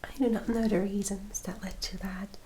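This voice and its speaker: A woman voicing a gentle, loyal young vampire in a whispered ASMR roleplay, speaking in a careful, slightly formal way. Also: mid-range voice, level tone, moderate pace, a little hiss or hum, lightly acted. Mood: hushed, reverent.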